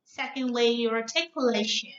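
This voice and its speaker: Woman judge doing an orderly announcement, highish voice, really echoey. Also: moderate pace, fairly bright tone. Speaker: competition judge. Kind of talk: prepared announcement to contestants. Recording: echoey room, dull muffled sound.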